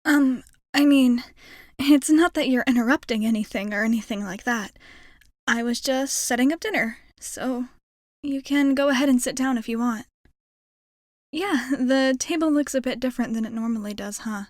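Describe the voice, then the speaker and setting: A woman's voice: fairly high pitch, normal speed, darkish tone, no room sound, studio quality, close to an everyday voice. A woman playing a shy, nervously hopeful young alien space traveler in an ASMR roleplay, rambling hesitantly and putting herself down. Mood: flustered, welcoming. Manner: hesitant, rambling, self-effacing. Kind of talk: ASMR roleplay, intimate character monologue.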